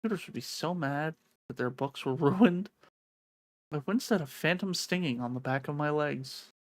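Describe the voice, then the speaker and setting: A woman's voice: deep voice, animated, moderate pace, no room sound. A woman streamer reading a visual novel aloud in a theatrical storytelling manner.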